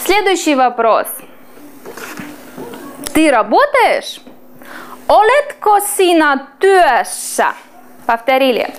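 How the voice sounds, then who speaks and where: A woman in her thirties teaching a language class in a small classroom, slowly and clearly drawing out foreign words. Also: high-pitched, big pitch swings, slight background noise. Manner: slow, clearly drawn-out pronunciation of foreign words.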